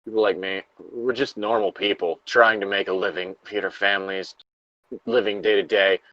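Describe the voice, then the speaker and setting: Man playing trapped male factory worker, halting, anguished, low-pitched, slightly dull audio, dramatic diary reading. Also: flat delivery, fairly bright tone, dry recording, close to an everyday voice. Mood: sorrowful. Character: dying, despairing male factory worker trapped under rubble.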